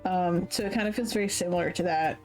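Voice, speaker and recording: A woman streamer chatting casually while playing a game, laughing often and filling pauses with "like". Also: lowish voice, a little mellow, faint music behind, low-quality recording. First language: English. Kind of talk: casual gameplay commentary.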